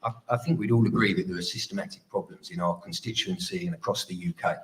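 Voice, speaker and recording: A man giving an earnest, pleading closing speech as a political candidate at a hustings, with some halting delivery. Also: low-pitched, fairly even intonation, very noisy.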